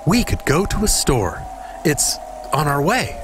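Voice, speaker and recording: A man's voice: on the high side, sing-song, measured pace, noisy background. A man voicing a naive, childlike AI companion in a video game, earnest, anxious and very literal. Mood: concerned. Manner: literal, innocent, simply phrased.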